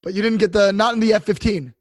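A man hosting an interview, introducing his guest and asking measured, friendly questions. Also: high-pitched, steady tone, dry recording, studio quality. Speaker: host. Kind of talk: podcast interview.

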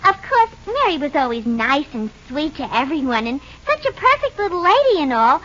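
An actress playing a chatty, sassy band musician in a 1940s radio drama, with an American accent and affected refinement that slips into slang. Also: female voice, high voice, big pitch swings, normal speed, a bit bright. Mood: confiding. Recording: dull old radio broadcast recording.

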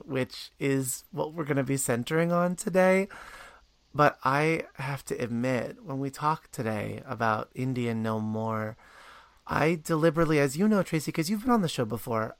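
A man's voice: medium pitch, gravelly, dry recording. A man hosting a podcast interview, speaking earnestly and often repeating words as he searches for them. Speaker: podcast host.

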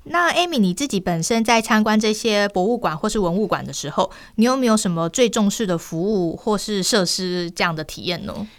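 A woman's voice: mid-range voice, lively intonation, a little fast, hardly any pauses. A woman hosting a cultural travel radio programme in Taiwanese Mandarin, speaking in an enthusiastic, promotional way and putting questions to her guest. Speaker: host interviewing a guest.

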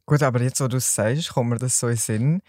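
A man's voice: mid-range voice, quick pace, a little mellow, no room sound, clean studio sound. A young man from Solothurn with a Swiss German accent, a podcast guest talking in an easygoing, slightly hesitant way. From Solothurn, Switzerland. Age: young adult. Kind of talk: casual podcast chat.

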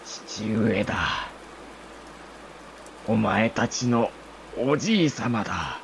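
A man playing a dying older samurai lord, an anguished father speaking halting, archaic lordly Japanese in a historical audio drama. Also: lowish voice, animated, vocal fry, slight background noise, exaggerated voice. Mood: grave confession.

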